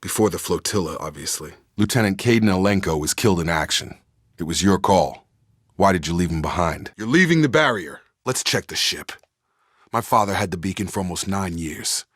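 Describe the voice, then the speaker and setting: An actor playing a loyal, earnest young space soldier in a video game, with a straight-talking military manner. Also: male voice, low-pitched, expressive, a little fast, fairly bright tone, no echo, studio quality. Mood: urgent combat barking. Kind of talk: video game character dialogue.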